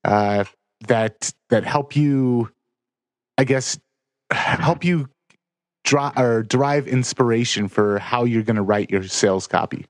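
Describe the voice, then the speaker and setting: A man's voice: medium pitch, takes it slowly, no room sound. American man hosting a casual chat. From United States. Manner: conversational, hesitant fillers. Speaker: podcast host. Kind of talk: casual interview questions.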